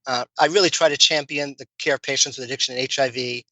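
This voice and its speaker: A man in his fifties with a Brooklyn accent, a guest physician speaking in a measured, thoughtful way on a podcast. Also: mid-range voice, fairly even intonation, pushed and bright, no echo, low-quality recording. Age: 50s. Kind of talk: podcast interview.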